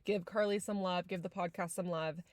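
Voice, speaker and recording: A woman's voice: lowish voice, fairly even intonation, a little fast, no echo, clean studio sound. A woman from San Diego hosting a podcast, talking casually with lots of fillers, a little nasal and congested. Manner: chatty, many fillers like "like". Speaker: podcast host. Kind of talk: casual podcast intro and chat.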